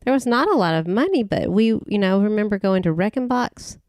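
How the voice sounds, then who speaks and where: A middle-aged woman from Tennessee, a podcast guest recalling her family in casual conversation, halting often and restarting her sentences. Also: medium pitch, expressive, a little mellow, no echo, studio quality. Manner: rambling, halting with many restarts and fillers.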